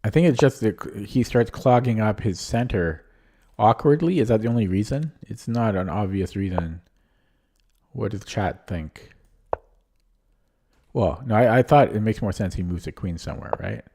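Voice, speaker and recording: A man's voice: on the low side, expressive, normal speed, mellow. A man streaming chess who thinks aloud in a casual, hesitant way, his voice a little strained from talking nonstop. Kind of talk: casual live-stream commentary.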